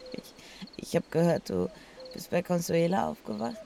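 An actress playing a weak, dazed but stubborn young woman in a German radio drama, speaking haltingly with a creaky voice. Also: fairly low pitch, dark tone, a little hiss or hum, exaggerated voice. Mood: frail, shy.

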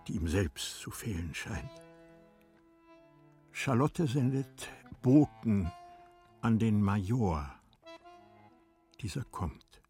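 A man narrating literary radio drama from a script, with deliberate, dramatic phrasing. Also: lowish voice, vocal fry, a little mellow.

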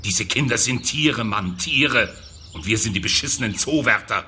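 Cold condescending prison boss, lowish voice, radio play, bit of background noise. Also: male voice, expressive, normal speed, bright, forceful tone. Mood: irritated, bitter. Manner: condescending, bureaucratic, clipped. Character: cynical, callous male youth prison director. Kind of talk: German radio drama acting.

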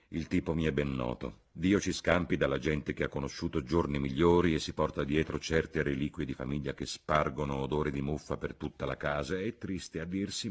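A man voicing an imperious, blunt middle-aged society matron in an audiobook, with commanding, exclamatory delivery. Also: low-pitched. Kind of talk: audiobook character voice.

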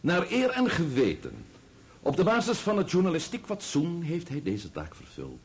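A rhetorical defence barrister in a radio courtroom drama. He declaims formally and emphatically, his pitch swinging dramatically from high stresses to low phrase endings. The tone is solemn oratory. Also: huge pitch swings, normal speed, a little hiss or hum. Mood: solemn, persuasive.

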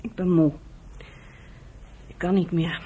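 A woman's voice: on the low side, steady tone, slow pace, mellow, slight background noise. An actress playing a weary, bitter woman who nurses the man she loves, in a reproachful, emotional radio drama style. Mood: bitter, hurt.

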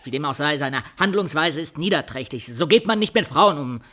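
Flippant, high-voiced aristocratic rake. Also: male voice, expressive, fairly quick, sharp tone. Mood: pompous indignation. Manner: affected, effusive, self-indulgent. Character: dissolute, flippant Russian count, boastful womanizer, theatrically indignant. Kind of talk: radio drama acting.

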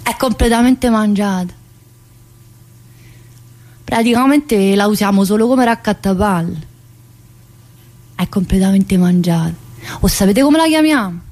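A woman's voice: mid-range voice, a little slow, pauses often, darkish tone, close to an everyday voice. An actress as a brash southern Italian woman prisoner. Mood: bitter, defiant. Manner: colloquial, dialect-laced, addressing an unseen teacher. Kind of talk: theatrical dramatic monologue.

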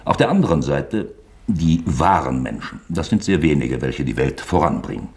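An actor playing a sly, falsely genial investigator in a German radio play, mock-forgetful and probing with creaky politeness. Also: male voice, rumbling low, very animated, normal speed, a little mellow, full character voice. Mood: feigned wonder, insinuating.

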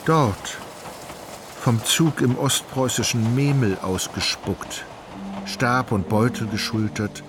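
An actor narrating a literary radio play as an ironic, all-knowing storyteller, measured and ornate in his delivery. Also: medium pitch, background noise, close to an everyday voice. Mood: wry, measured. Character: wry, omniscient, ironic male storyteller. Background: music.

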